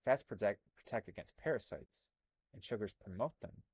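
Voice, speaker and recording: A young man from Albania who grew up in America, talking over on-screen text in a rambling, animated way full of fillers and restarts. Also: on the low side, moderate pace, slightly rough, no echo. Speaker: health coach. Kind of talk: casual commentary reading from a screen.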